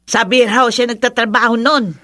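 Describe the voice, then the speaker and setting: Bitter old filipino mother in law, radio soap, highish sharp voice, very dramatic. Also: fairly even intonation, normal speed. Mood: spiteful, scornful. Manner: scornful, exclamatory, melodramatic. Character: bitter, spiteful older mother-in-law with rheumatism. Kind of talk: Philippine radio drama acting.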